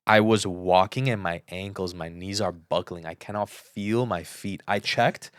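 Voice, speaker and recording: A man's voice: low-pitched, fairly even intonation, a little slow, clean tone, no echo, clean studio sound. A man co-hosting a podcast in casual chat, telling personal stories emphatically and repeating words for stress. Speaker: podcast co-host.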